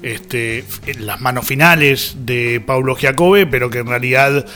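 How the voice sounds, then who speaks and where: Argentine guy hosting radio, chatty, thinks out loud, regular pitch, bit of music under. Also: a little slow. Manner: informal, conversational, thinking aloud. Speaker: radio host. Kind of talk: casual radio talk with listener messages.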